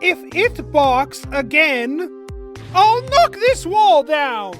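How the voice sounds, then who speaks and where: Woman playing a crabby, drawn-out yelling neighbor. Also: high-pitched, big pitch swings, soft background music, heavily acted. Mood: furious. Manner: angry yelling. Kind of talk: animated game fandub acting.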